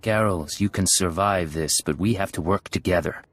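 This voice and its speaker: A cool male secret agent in a dramatic video game. The voice is low and husky, with a controlled, measured delivery that carries quiet menace. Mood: cool, firm.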